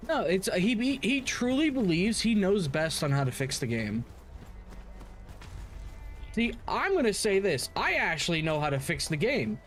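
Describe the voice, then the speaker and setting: A man's voice: high voice, very animated, moderate pace. A man streaming games, chatting casually in slang, blunt and repetitive with stammered restarts. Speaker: game streamer. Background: game music.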